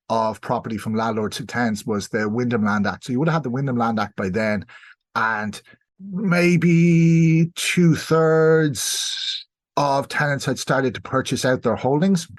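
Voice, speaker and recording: An Irish man talks as the expert guest on a history podcast. His manner is measured and academic, and he reworks his phrasing as he explains. His pitch sits in an ordinary middle range. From South Kildare, Ireland. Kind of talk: explanatory interview talk.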